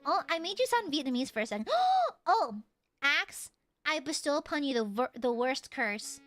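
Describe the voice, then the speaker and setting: A woman streamer chatting casually with her viewers, loud and crude, teasing them and swearing a lot, then suddenly dropping into mock-serious mutters. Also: sits high, normal speed, soft background music. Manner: animated, jumps between shouting and mock-serious mutters. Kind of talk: casual live-stream chat.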